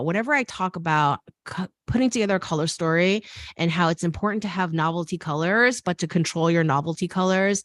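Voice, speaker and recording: A woman's voice: on the low side, a bit bright, no room sound, studio quality. A woman with an American accent whose first language is Korean, hosting a casual fashion interview and slipping into teacherly asides. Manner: chatty, laughing, teacherly asides. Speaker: host and fashion design teacher. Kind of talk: casual video call interview.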